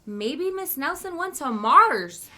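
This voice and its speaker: Excitable grade-school kid, played by a woman reader: emphatic, sharp, raised voice for a storybook. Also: high voice, slow, pitched above the performer's own voice, heavily acted. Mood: earnest guessing. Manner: loud, emphatic exclaiming. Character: excitable schoolchildren guessing and exclaiming. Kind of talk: picture-book read-aloud for children.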